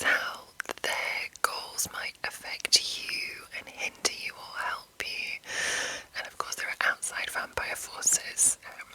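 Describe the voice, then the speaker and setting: A woman whispering an ASMR explanation in a breathy, informal, rambling and unhurried way. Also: bright, forceful tone, low-quality recording. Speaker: ASMR host.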